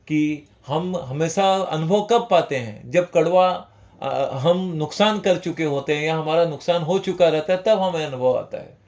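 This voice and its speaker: A man's voice: a little high, a little mellow. A man gives an informal talk on long-term stock investing, addressing viewers as friends and mixing English terms into his speech. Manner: conversational, repeats "dosto", mixes English terms. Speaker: stock market educator.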